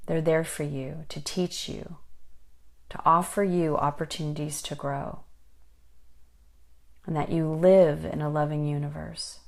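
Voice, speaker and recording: A woman leading a guided meditation in a soothing, unhurried manner, giving gentle instructions with pauses. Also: deep voice. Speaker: meditation guide and life coach.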